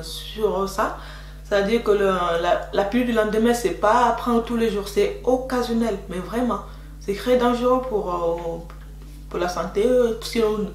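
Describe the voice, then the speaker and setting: Chatty woman in an echoey room. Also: mid-range voice. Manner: chatty, repetitive, frequent "donc voilà" fillers. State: slightly congested from a cold. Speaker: beauty vlogger. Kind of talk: casual advice vlog. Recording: echoey room with faint hum.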